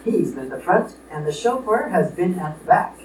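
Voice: mid-range voice, sing-song, normal speed, lightly acted. An actor as blustering stern father, echoey and distant. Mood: suspicious, determined. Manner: blustering, threatening, indignant. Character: stern, suspicious, wealthy old father. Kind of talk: old-fashioned comic radio play acting. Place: live radio play performance in a room. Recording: distant echoey live stage, noisy.

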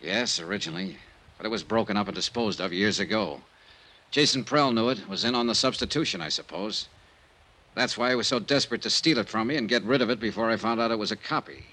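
Wry, hardboiled radio detective, bright-voiced. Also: male voice, fairly low pitch, animated, quick pace, full character voice. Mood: tired, matter-of-fact. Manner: wry, clipped, world-weary. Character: weary, hardboiled male investigator. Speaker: lead actor and narrator of a radio mystery serial. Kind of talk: old-time radio detective acting and first-person narration. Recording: noisy old radio drama recording.

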